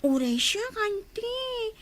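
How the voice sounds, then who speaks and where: A woman's voice: very high-pitched, sing-song, slow pace, slight background noise, heavily acted. A woman playing a naive, innocent little child who asks questions, in a radio comedy-drama. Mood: puzzled, innocent.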